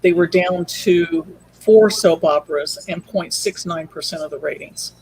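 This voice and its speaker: A woman's voice: on the low side, unhurried, darkish tone, a little hiss or hum, rough recording. A woman speaking as an interview guest, telling her story in a casual, conversational way. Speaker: podcast guest, audio drama producer.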